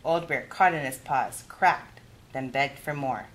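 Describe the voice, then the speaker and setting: A woman narrating a children's story in an expressive read-aloud style. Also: extremely low pitch, a little slow. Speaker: youth adviser reading a children's story.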